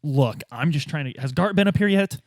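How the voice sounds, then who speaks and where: A man plays a dogged detective in a tabletop game, his fairly high voice swinging widely in pitch. He questions people bluntly and insistently, with informal exasperation. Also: lively intonation, brisk, no echo, clean studio sound.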